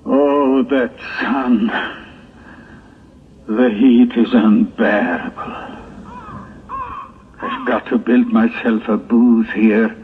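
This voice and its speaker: An actor playing an aggrieved, self-pitying Hebrew prophet in a 1960s religious audio drama, with theatrical, drawn-out sighs and moans. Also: male voice, mid-range voice, steady tone. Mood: weary, bitter. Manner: theatrical, drawn-out, sighing and moaning monologue. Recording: tinny, noisy 1960s tape recording.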